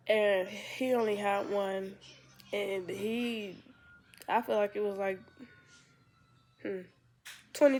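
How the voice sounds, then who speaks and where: A young woman vlogging casually with a congested voice, rambling and trailing off as she talks to her viewers. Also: mid-range voice. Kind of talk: casual vlog talk. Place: outdoors by a lake, then at home. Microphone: phone camera. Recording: loud outdoor noise.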